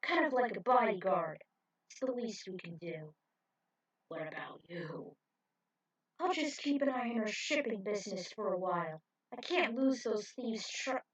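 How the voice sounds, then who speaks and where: A woman's voice: on the high side, slightly rough, low-quality recording. A woman doing a protective male shipping boss.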